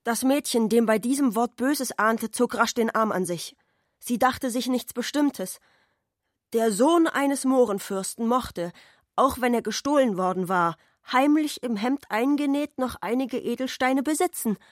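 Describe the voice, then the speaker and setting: A woman's voice: on the high side, measured pace, dry recording, clean studio sound. A woman reads literary narration for a radio play. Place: dry radio studio.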